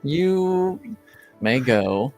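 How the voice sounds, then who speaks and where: A man acts out a reserved male knight lieutenant in a tabletop roleplaying game. The delivery is very slow and measured, formal and stiffly polite. Faint music plays behind the voice. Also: on the high side, sing-song, a little mellow, voice pushed up, full character voice. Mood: guarded, polite. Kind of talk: improvised in-character tabletop roleplay.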